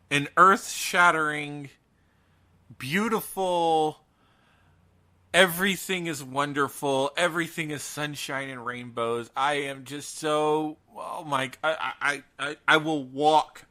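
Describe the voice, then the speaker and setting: A man's voice: fairly high pitch, bright, forceful tone. A man co-hosting a podcast, chatting casually through horror news in a theatrical, jokey and emphatic way. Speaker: podcast co-host.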